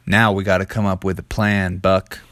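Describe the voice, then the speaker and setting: A man's voice: deep voice, level tone, moderate pace, a bit bright, no echo, pitched below the performer's own voice. A man voicing a brave, cheerful talking cowboy frog who boasts he will save the town. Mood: whispering.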